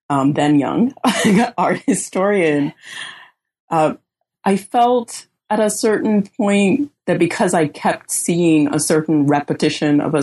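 A woman from the Bahamas answering interview questions about her work, measured and thoughtful, with many pauses and fillers. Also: deep voice, a little mellow. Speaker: guest author.

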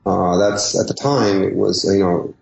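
Fifties guy, spanish first language, lots of ums n restarts, gravelly, roomy dull sound. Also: deep voice, fairly even intonation, measured pace, darkish tone. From San Diego, California. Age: 50s. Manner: hesitant, many ums and restarts. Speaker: poet guest. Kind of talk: casual interview. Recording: echoey lo-fi remote line.

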